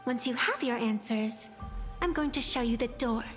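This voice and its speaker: A woman's voice: a little high, moderate pace, soft background music, close to an everyday voice. A woman voicing an aloof, playful rabbit-eared fey girl in an anime game, speaking in grand riddles.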